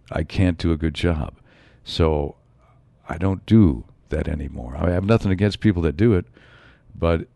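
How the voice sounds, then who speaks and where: A man hosting his own show in a rambling solo monologue, his voice a bit raspy from a slight cold. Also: deep voice, mellow, no echo. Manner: rambling, musing, frequent asides. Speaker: show host.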